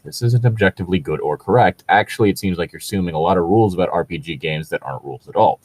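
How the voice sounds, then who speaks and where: A man giving casual, conversational commentary in a halting way, with lots of filler words and some swearing. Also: low voice, darkish tone, dry recording. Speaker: podcast host reacting to read-aloud Reddit posts.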